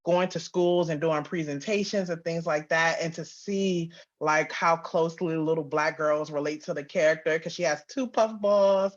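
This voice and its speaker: A woman's voice: deep voice, steady tone, normal speed, hardly any pauses, muffled recording. A woman giving casual, candid interview answers as a guest author, chatty and emphatic. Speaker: guest, author and audio drama writer-producer.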